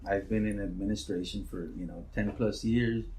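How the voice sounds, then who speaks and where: Man, middle-aged, loud voice, school principal, easygoing interview answers, restarts his sentences. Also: fairly low pitch, normal speed, slight background noise, low-quality recording. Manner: relaxed, rambling with restarts.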